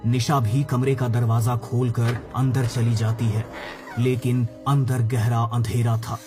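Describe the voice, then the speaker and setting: Mid-pitched male horror story narrator. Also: little pitch movement, unhurried. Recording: background music.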